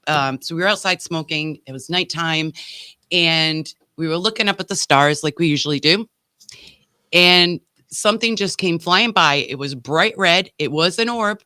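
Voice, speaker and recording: A woman's voice: on the low side, normal speed, pushed and bright, dry recording, studio quality. A woman with a New England accent telling stories informally in casual chat as a podcast co-host.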